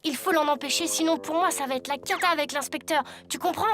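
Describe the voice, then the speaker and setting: An actress playing an earnest, anxious young schoolboy in a cartoon, with a dramatic, sometimes hesitant delivery. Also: female voice, high voice, fast, natural voice. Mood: worried, determined.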